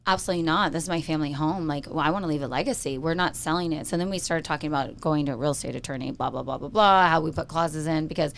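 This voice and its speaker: A chatty American woman with a slightly raspy, somewhat low voice tells stories in an animated, bubbly way. A faint hum of ambience sits behind her. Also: rapid-fire, few pauses. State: a little raspy from yelling. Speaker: co-host. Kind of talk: casual chat.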